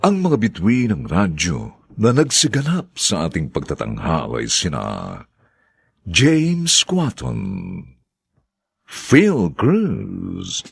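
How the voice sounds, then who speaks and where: A man's voice: fairly low pitch, huge pitch swings, slow, gravelly, breathy edge, dry recording. A man with a Filipino accent announcing a radio drama from a script in a formal, theatrical manner.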